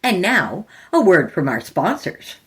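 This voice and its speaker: A woman's voice: on the low side, big pitch swings, a little slow. A woman hosting a horror fiction podcast, reading scripted introductions and story narration.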